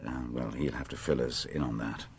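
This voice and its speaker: Radio drama police inspector, extremely low voice, measured, discreet, patient, probing. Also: male voice, steady tone, husky. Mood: musing, probing. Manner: measured, probing, politely hesitant. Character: shrewd, patient, discreet male police inspector questioning a suspect. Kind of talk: radio drama acting, interrogation dialogue.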